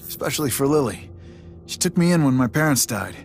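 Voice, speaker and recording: A man's voice: medium pitch, expressive, brisk, gravelly. A man playing a reckless, irreverent young orphan ranger in a video game, with a wry, sardonic manner. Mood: wry narration. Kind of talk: video game voice acting with narration. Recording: loud game music over voice.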